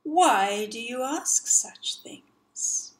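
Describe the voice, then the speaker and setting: A woman voicing a sly, menacing male crocodile in a slow, hushed whisper. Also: fairly high pitch, animated.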